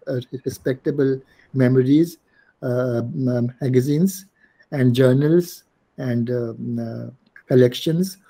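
An older man hosting an online poetry event, introducing a guest in a halting way with many pauses and restarts, over a video call. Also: medium pitch, mellow. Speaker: host introducing a guest poet. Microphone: video call, laptop camera.

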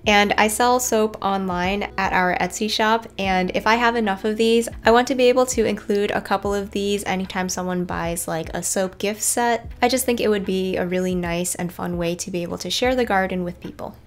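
A woman's voice: medium pitch, fairly even intonation, brisk, hardly any pauses. A woman narrating a casual garden tour in a chatty, informal way. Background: background music.

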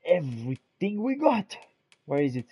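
A man's voice: fairly high pitch, sing-song, a little slow, dark tone, breathy edge. A man giving theatrical, in-character commentary as he plays a video game. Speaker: gamer roleplaying during gameplay. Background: video game ambience.

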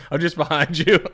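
A man's voice: on the high side, heavy background noise. A man co-hosting a podcast, riffing on absurd ideas in casual, profane slang. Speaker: podcast host. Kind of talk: casual comedic banter.

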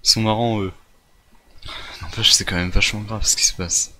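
A man's voice: lowish voice, fairly even intonation, normal speed, sharp tone. A man improvising a casual gaming roleplay, thinking aloud in colloquial, exclamatory bursts. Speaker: gaming video creator in roleplay.

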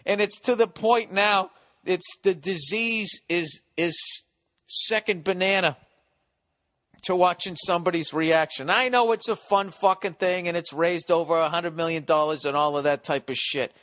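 A middle-aged American man hosting a podcast, ranting sarcastically with lots of swearing, going loud then quiet. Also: sits high, normal speed, no echo, studio quality. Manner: profane, sarcastic ranting, swings loud and soft. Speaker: podcast host. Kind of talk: ranting solo podcast monologue.

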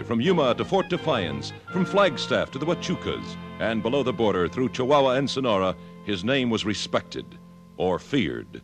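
A man announcing an old-time radio western in a grand, declamatory style. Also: lowish voice. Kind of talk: dramatic 1950s radio western introduction. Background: music.

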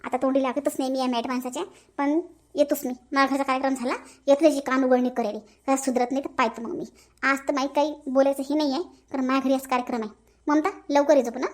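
Comedy cartoon housewife, acted: middle-aged, bossy, very shrill-high pitch, Varhadi Marathi, emphatic scolding. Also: flat delivery, fast talker, close to an everyday voice. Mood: indignant, bossy. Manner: scolding, emphatic, repeats phrases. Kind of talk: comic family cartoon dialogue.

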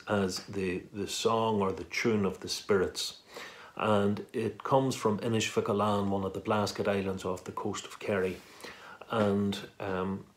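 A man in his sixties giving an informal introduction, reflective and hesitant as he searches for words. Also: fairly low pitch. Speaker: composer introducing own work. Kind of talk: informal spoken introduction to camera. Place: small room at home.

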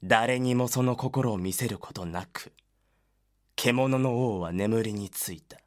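Guy doing grave narrator for a dark werewolf audio drama, real slow and solemn. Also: mid-range voice, natural voice. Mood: grave, ominous. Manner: grave, measured.

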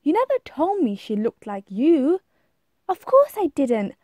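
A woman's voice: high voice, huge pitch swings, unhurried, darkish tone, no echo, heavily acted. A woman voicing a helpful, confident butterfly in a rhyming storybook, eager and encouraging. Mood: excited. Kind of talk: rhyming storybook read-aloud.

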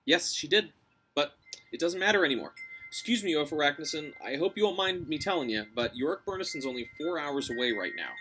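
Man voicing a high-pitched, cunning young girl. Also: lively intonation, sharp tone, a little hiss or hum. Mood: earnest persuasion. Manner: coaxing, deferential, quick-thinking. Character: brave young girl, cunning, flattering, earnest.

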